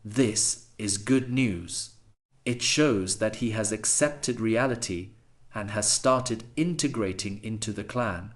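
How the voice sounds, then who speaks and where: A man playing a dutiful academy elder in a fantasy audiobook, with a deliberate, reflective way of talking. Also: fairly low pitch. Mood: thoughtful, satisfied. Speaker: voice actor. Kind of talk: dramatised audiobook acting.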